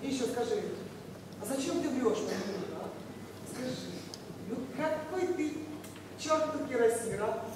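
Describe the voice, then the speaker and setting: An actress playing a sharp-tongued, sarcastic woman running an apartment in a stage comedy. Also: sits high, expressive, measured pace, pauses often, noisy background. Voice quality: sarcastic, cutting. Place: large theatre stage. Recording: echoey theatre from far off.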